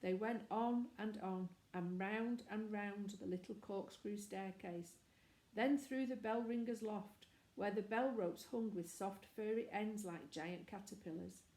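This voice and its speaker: A woman narrates a children's novel from a script. Her voice is mellow and mid-pitched. The room around her is clearly echoey. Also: measured pace. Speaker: storybook reader.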